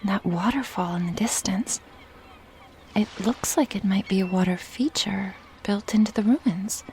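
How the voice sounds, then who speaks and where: A woman playing a giddy, curious woman doctor with a breathy, wonder-struck manner in an audio drama. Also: medium pitch, a little slow, darkish tone, slight background noise, lightly acted. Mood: enchanted. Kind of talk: ASMR audio drama acting.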